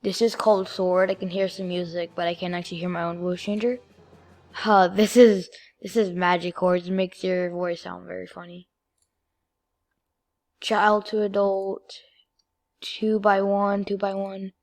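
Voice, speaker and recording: A female-sounding voice at a middling pitch walks through software steps. The delivery is informal and halting, in the manner of a homemade screen-recording tutorial. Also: level tone. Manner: informal, halting step-by-step instructions. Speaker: video creator giving a tutorial.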